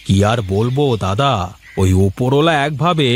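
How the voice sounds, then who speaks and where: A man's voice: medium pitch, lively intonation, normal speed, lightly acted. Man acting an eager village husband, noisy track. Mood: agreeable. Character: anxious, eager village husband, a small farmer. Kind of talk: Bengali moral-story cartoon dubbing.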